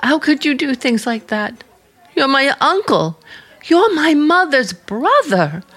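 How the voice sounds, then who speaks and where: An actress playing an earnest, troubled young niece from a small town in a radio play, wounded and pleading. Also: female voice, sits high, sing-song, slow pace, fairly bright tone, exaggerated voice. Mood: wounded reproach. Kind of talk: dramatic radio play acting.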